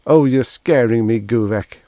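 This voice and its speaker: A man's voice: medium pitch, a little slow, mellow, dry recording, voice pushed up, close to an everyday voice. A man voicing a cocky, defiant human prisoner, flippant and mock-innocent, in a pulp science fiction audiobook. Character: cocky, defiant male human prisoner taunting his alien captors.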